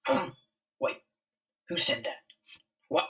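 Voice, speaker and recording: A man's voice: slow pace, fairly bright tone, distant mic. A man voicing an ordinary, easily spooked city cab driver in a comic-book reading. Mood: flustered, panicked. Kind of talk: dramatic comic-book voice acting.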